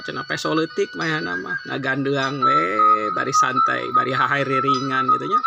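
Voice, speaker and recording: A man's voice: medium pitch, creaky. A man with a Sundanese accent telling a folktale informally, in a chatty, conversational way. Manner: chatty, conversational, frequent tag words. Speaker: storyteller. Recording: loud music under the voice. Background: loud music.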